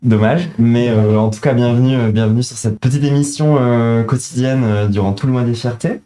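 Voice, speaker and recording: Male show host, informal filler-laden chat, mellow voice. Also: medium pitch, steady tone, normal speed. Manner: chatty, filler-heavy. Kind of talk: casual interview hosting.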